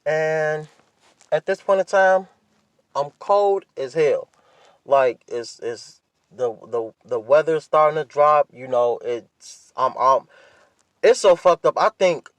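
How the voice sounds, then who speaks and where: A young American man with a slightly high voice, recorded fairly dry. He talks like a casual podcast host, rambling along in a laid-back way and swearing freely. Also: dry recording. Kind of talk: casual solo podcast chat.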